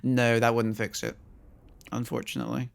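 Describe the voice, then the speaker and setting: A male streamer with a croaky voice, chatting casually to his viewers as he thinks aloud and plans his moves in a strategy game. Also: mid-range voice, fairly even intonation, moderate pace. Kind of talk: casual gameplay commentary to chat.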